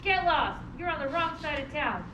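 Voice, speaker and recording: A woman playing a hostile male car thief from a rough neighbourhood in an amateur play reading. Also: high voice, animated, normal speed, pushed and bright. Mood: menacing. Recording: echoey, noisy room, distant mic.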